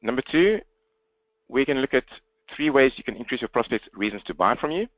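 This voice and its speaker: A man from South Africa with a South African accent and a slightly croaky throat, presenting an interactive webinar training while standing at his computer, fast, direct and upbeat. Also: mid-range voice, big pitch swings, fairly bright tone, no echo, highs cut off.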